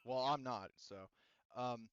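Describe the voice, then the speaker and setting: A man acting as game master, casually narrating and making rulings in a halting, rambling way that often interrupts itself. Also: mid-range voice, a bit bright, smooth, no room sound, highs cut off.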